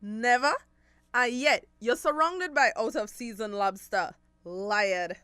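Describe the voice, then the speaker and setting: An actress playing a suspicious, blunt, unforgiving patrol officer with a Belizean Creole accent in a radio drama. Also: female voice, fairly high pitch, slow, bright, forceful tone, clear, resonant tone, no echo. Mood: accusing. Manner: accusing, blunt.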